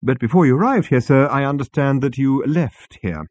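A man voicing a polite, formal alien waiter who speaks slowly and deferentially. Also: medium pitch, a little mellow, no room sound, pitched above the performer's own voice, lightly acted.

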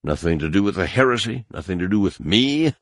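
A man voicing a cold, cruel one-eyed male warrior who speaks in a low, hissing, threatening way. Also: animated, a little fast, no echo, studio quality. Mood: menacing.

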